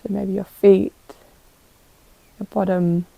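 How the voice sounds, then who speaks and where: A woman guiding a meditation as a yoga teacher, calm and gentle, giving unhurried instructions with pauses. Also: medium pitch, vocal fry, husky, dry recording, poor audio. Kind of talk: guided meditation.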